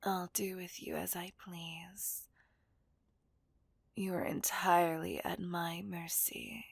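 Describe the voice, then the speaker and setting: A woman's voice: on the low side, sing-song, slow pace, gravelly, husky, no room sound, heavily acted. A woman playing a dominant, taunting female villain in an ASMR roleplay, whispering breathily and possessively to a hostage. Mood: possessive, gloating.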